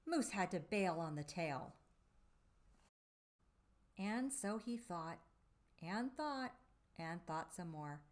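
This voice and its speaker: Female children's storybook reader. Unhurried, very melodic delivery, theatrical emphasis, dramatic pauses. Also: lowish voice, animated. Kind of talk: expressive read-aloud of a children's picture book.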